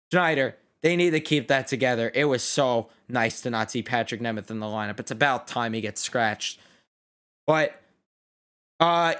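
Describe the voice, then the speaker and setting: Young male host, casual and blunt, tired and a bit worn on the voice, fairly high, clean tone. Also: fairly bright tone, clear, resonant tone. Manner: blunt, opinionated, swears freely. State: tired and losing his voice. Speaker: sports reaction host. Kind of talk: casual post-game reaction.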